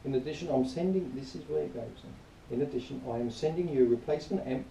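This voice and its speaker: A man giving an informal spoken review in a rambling, matter-of-fact, grumbling way, partly reading aloud, recorded quietly on a camera some distance away. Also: mid-range voice, fast talker, gravelly. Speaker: product reviewer. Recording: distant quiet mic, roomy echo, faint noise.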